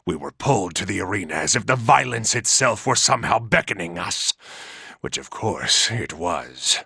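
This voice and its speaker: Robot military commander, video game villainy, very harsh and piercing, raspy, impatient, authoritative, dry close sound. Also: male voice, low voice, very animated, moderate pace, no echo, exaggerated voice. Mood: measured, reflective. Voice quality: gravelly, raspy. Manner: forceful, barking orders. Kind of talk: video game character acting.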